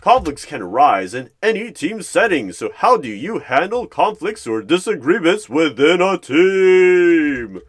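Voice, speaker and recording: A man's voice: a little high, sing-song, unhurried, few pauses, pitched above the performer's own voice. Man playing an awkward recruiter with swooping voice. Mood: formal, emphatic. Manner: formal, scripted interview questioning with emphatic swings. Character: awkward, earnest male recruiter conducting a job interview. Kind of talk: ASMR roleplay.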